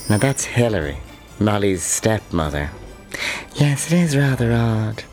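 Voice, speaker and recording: An actor playing a gentle, chatty old Irishman who rambles and muses in an audio drama. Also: lowish voice, big pitch swings, measured pace, faint music behind. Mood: musing. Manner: rambling, musing, tag questions. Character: gentle, chatty, ghostly old fellow narrating and watching passengers.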